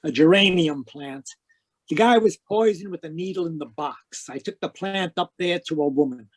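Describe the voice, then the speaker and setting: Man acting a deadpan hard-boiled radio detective, higher-pitched. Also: moderate pace, no room sound, close to an everyday voice. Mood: urgent, impatient. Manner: deadpan, clipped, wisecracking. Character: hard-boiled, wisecracking private investigator in trouble, weary and sardonic. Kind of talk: 1940s radio noir acting with first-person narration. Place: live remote video-call performance.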